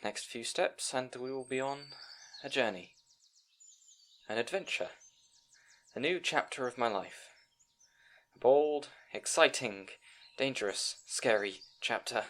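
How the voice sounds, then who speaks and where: A man's voice: mid-range voice, expressive, a little slow, pauses often, fairly bright tone. A man playing a timid, bookish young scribe in an audio roleplay, speaking to the listener in an anxious, hesitant, stammering way. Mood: nervously bold.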